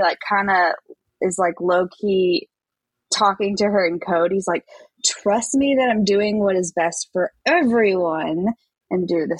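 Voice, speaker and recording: A woman's voice: medium pitch, slightly rough, no echo, rough recording. A woman co-hosting a podcast, chattily retelling scenes and dialogue in a casual recap style. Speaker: podcast co-host.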